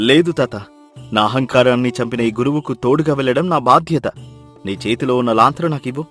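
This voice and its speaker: A man's voice: medium pitch, fairly bright tone. Man as an earnest, humbled educated young man. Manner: earnest, emphatic, pleading. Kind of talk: dramatized moral story.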